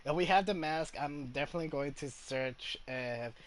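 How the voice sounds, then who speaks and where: A man giving casual gameplay commentary, halting and thinking aloud as he plays. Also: fairly high pitch. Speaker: gamer commentating on own gameplay. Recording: game music behind the voice.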